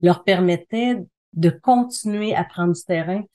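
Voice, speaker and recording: A woman's voice: on the low side, a little slow, warm tone. A middle-aged woman with a Quebec French accent speaking as an interview guest, explaining things conversationally and repeating phrases for emphasis. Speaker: interview guest, communication consultant and trainer.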